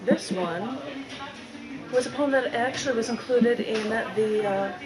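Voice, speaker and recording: A woman giving a live spoken-word poetry reading in a bookstore, phrasing dramatically and deliberately with drawn-out emphasis. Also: fairly high pitch, music in the background, poor audio. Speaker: poet reading own work.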